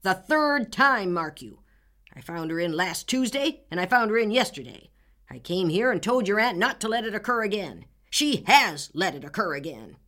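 A woman's voice: medium pitch, sing-song, normal speed, a bit bright. A woman voicing an irate, blustering old farmer who splutters with rage. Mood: angry.

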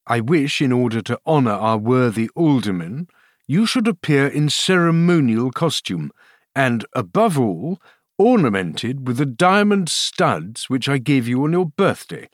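A man's voice: mid-range voice, big pitch swings, moderate pace, no echo, clean studio sound, pitched above the performer's own voice. A man voicing a cold, cruel king with pompous, commanding, clipped speech for a storybook. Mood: dignified, commanding.

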